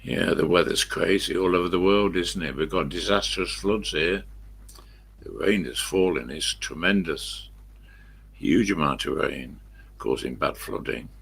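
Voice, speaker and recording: An elderly English man with a croaky smoker's voice, rambling casually to viewers on a live stream and answering his own questions. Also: deep voice, measured pace, a bit bright. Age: 70s or older. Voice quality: croaky, husky smoker's voice with a cough.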